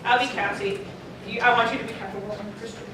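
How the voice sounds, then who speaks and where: A woman's voice: medium pitch, steady tone, fast talker. A woman bantering casually and playfully as a panelist handing out parts. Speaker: panelist casting volunteers. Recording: echoey convention room, distant mic, noisy.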